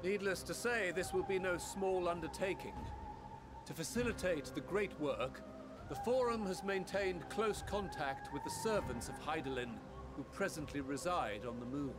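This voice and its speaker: An actor playing a grave, authoritative statesman in a fantasy game, making solemn, measured formal oratory. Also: high voice, lively intonation. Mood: resolute. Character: grave, authoritative male elder statesman addressing an assembly.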